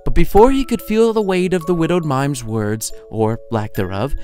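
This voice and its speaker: A man's voice: fairly high pitch, moderate pace, darkish tone. A man reading his own short story as scripted narration, with a dramatic, playful storytelling delivery. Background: music under the voice.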